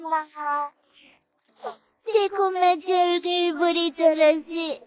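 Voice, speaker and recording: A woman voicing a plaintive ghost baby girl, childlike and pleading, with eerie drawn-out words. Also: extremely high pitch, steady tone, dry recording, lo-fi sound, full character voice.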